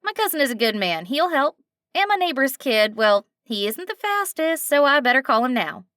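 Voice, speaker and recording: A friendly, worn-out cashier in her forties, as a woman reader puts her on, with a slight nasal twang. She talks in a folksy, reassuring way, pitched well above the reader's own voice. The recording is close and dry. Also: sing-song, normal speed, fairly bright tone, no echo. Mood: kindly reassuring. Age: 40s. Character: friendly tired middle-aged woman cashier.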